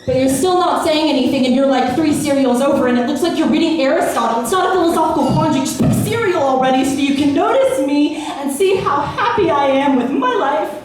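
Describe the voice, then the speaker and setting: A woman's voice: fairly quick, few pauses. A young American woman from Texas performing her own spoken word poems at an open mic, playful and wry. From Austin, Texas, USA. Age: young adult. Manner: playful, wry, with sudden pitch jumps and laughs. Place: small bar. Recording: echoey bar room, voice from far off.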